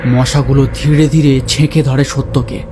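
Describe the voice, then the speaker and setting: A man's voice: mid-range voice, flat delivery, normal speed, over music. A man narrating a scripted ghost story in a dramatic storytelling style.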